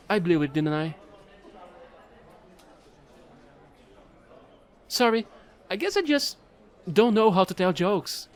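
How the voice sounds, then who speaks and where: A man playing a chatty, excitable fallen alien angel who trades in space, gushing and rambling in an audio roleplay. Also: sits high. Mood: sheepish. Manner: bubbly, gushing, rambling, apologetic.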